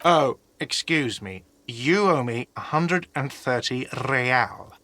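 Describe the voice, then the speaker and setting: Bright-voiced, exasperated hostel manager. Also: male voice, mid-range voice, animated, a little slow, slight background noise. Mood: sarcastic, exasperated. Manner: sarcastic, irritated, condescending. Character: exasperated, sarcastic male hostel cafeteria manager. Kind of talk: video game voice acting.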